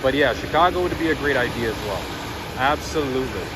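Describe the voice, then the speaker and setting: A man hosting a night-time walking livestream outdoors in a city, chatting casually with his viewers. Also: brisk. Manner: chatty, addresses viewers, lively swings in pitch. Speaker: livestream walking-tour host. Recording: loud street noise. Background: loud city traffic noise.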